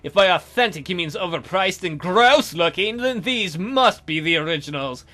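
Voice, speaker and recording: A man reads a visual novel aloud for a let's play with theatrical, joking delivery. His voice is high-pitched and bright, with wide swings, over soft background music. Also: lively intonation, moderate pace. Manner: theatrical, jokey.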